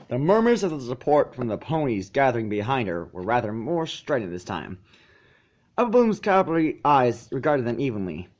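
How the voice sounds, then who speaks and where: A man's voice: mid-range voice, very animated, normal speed, muffled recording. A man reading fan fiction aloud as narrator, sometimes stumbling and repeating a phrase. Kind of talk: scripted story narration.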